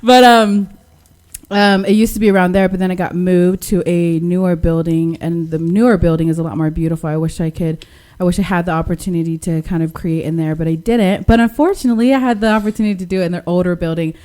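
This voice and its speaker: A young woman from Western Samoa, a musician guest on a radio interview, talking casually and softly with slang and frequent laughs. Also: lowish voice, steady tone, quick pace. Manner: soft-spoken, laughs often, slangy.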